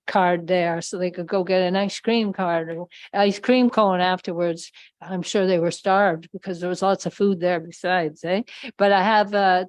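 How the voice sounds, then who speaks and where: Halting woman councillor. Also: mid-range voice, no room sound. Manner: polite, halting, many filler pauses. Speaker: town councillor. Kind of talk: council meeting questions. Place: council chamber. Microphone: desk microphone.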